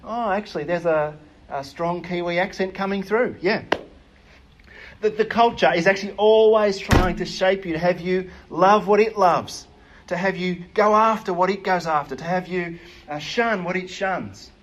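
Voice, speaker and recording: A man preaching a sermon in an earnest, emphatic way, often pausing with hesitations. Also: sits high, poor audio.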